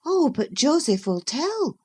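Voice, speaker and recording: A woman reader voicing a petulant, irritable young woman who sounds high-pitched and demanding. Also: big pitch swings, slow pace, a little mellow, full character voice. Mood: evasive.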